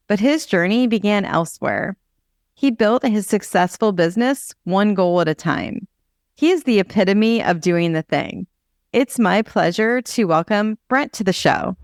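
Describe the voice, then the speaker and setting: A woman's voice: medium pitch, no echo, studio quality. A woman with an American accent hosting a podcast, speaking in an upbeat, enthusiastic way as she introduces and interviews. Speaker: podcast host.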